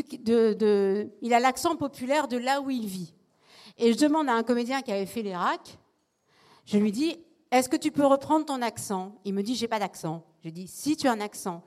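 A woman's voice: a little high, darkish tone. A woman theatre director speaking on a panel discussion, earnest, often restarting her phrases. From not from Marseille, lives there.

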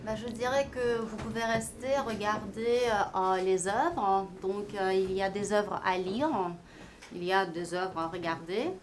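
Woman, event host, mid pitch, hesitant with fillers, faint background noise. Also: steady tone, moderate pace. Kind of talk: hosting a gallery talk.

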